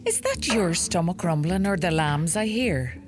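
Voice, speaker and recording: Woman as a lively sharp-tongued Donegal mother. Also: lowish voice, normal speed, over loud music, natural voice. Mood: scolding, teasing. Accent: Donegal Irish. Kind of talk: comic radio play acting.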